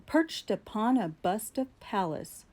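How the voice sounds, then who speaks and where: A woman giving a dramatic, deliberate recitation of gothic poetry, with drawn-out phrasing and strong emphasis. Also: mid-range voice, big pitch swings, darkish tone. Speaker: poetry reader.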